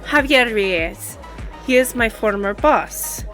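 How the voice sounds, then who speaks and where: A woman playing a composed, confident young lawyer and boss in an audio roleplay, with a measured, earnest delivery. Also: fairly high pitch, expressive, a bit bright, soft background music. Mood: wistful, hesitant.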